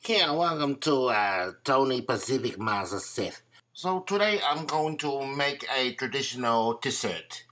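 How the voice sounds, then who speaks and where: A man's voice: mid-range voice, animated, a little slow, sharp tone. A man playing a bumbling island uncle who pretends to be a TV chef, speaking broken, hesitant English in a comedy sketch. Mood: showy.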